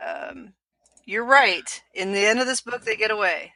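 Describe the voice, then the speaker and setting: A woman's voice: medium pitch, normal speed, bright, forceful tone, dry recording, rough recording. A woman hosting a casual podcast book discussion, speaking in a hesitant, slightly apologetic way.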